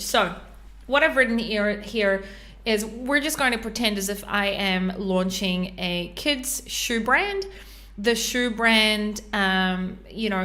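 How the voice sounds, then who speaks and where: Bright-voiced New Zealand woman explaining. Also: medium pitch. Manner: chatty, step-by-step explaining with frequent ums and uhs. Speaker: podcast host. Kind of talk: live screen-share tutorial.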